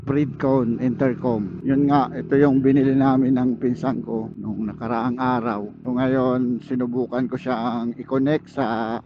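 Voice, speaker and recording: Filipino man vlogging through a dull helmet mic. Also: mid-range voice. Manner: chatty, jokey. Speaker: motovlogger. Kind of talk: casual vlog chat to viewers while riding. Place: outdoors on a moving motorcycle. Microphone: helmet intercom used as wireless microphone. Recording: dull helmet intercom mic, vehicle noise. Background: faint vehicle and road noise.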